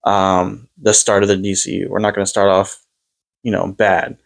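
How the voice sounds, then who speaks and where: A man's voice: low-pitched, level tone, moderate pace, vocal fry. A man in his twenties chatting casually, often repeating words and swearing offhand. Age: 20s. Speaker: podcast co-host. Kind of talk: casual movie chat.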